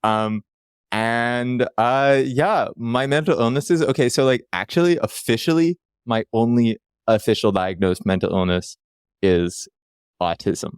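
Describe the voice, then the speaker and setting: A man from Canada, a guest on a casual podcast, rambling with quick self-deprecating jokes. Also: mid-range voice, clear, resonant tone, dry recording, studio quality.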